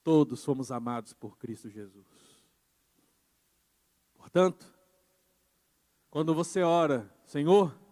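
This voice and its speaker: A man pastor preaching a sermon with emphasis, moving between quiet passages and loud insistent ones. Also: on the high side, lively intonation, takes it slowly, pauses often. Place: church. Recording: church hall with long reverb.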